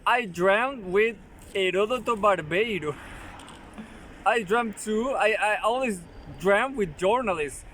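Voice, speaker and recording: A man hosting his own channel, casually reading an article aloud with halting restarts and joking asides. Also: high voice, fairly bright tone, slight background noise.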